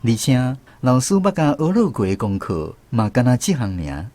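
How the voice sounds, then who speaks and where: A man with a Taiwanese accent giving expressive, scripted storytelling narration for a radio drama. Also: medium pitch, normal speed. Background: music.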